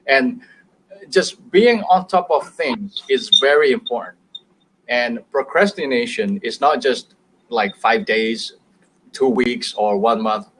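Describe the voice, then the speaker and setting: Indonesian-accented man, halting and chuckling. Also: medium pitch, a bit bright, slight background noise, poor audio. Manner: hesitant, many fillers and restarts, chuckles. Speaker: business show guest expert. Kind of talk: casual business talk show chat.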